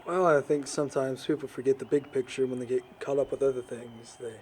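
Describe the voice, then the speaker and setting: A man's voice: medium pitch, fairly even intonation, darkish tone, slight background noise, close to an everyday voice. A young man playing an earnest, reflective teenage boy in an amateur audio drama, choosing his words thoughtfully. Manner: earnest, measured wording, reflective. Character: earnest, thoughtful, non-judging teenage boy, quiet outsider.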